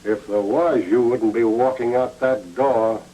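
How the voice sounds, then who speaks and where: An actor playing a stern, needling homicide lieutenant, clipped and sardonic, in 1940s film noir style. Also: male voice, mid-range voice, steady tone, a little slow, natural voice. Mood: dry irritation. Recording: noisy old film soundtrack with hum.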